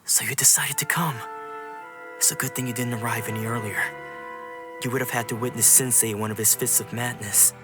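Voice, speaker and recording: A man's voice: medium pitch, fairly even intonation, fairly quick, over music, close to an everyday voice. Man as an earnest young sword disciple. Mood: concerned, hushed. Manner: polite, explanatory, earnest. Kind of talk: video game voice acting.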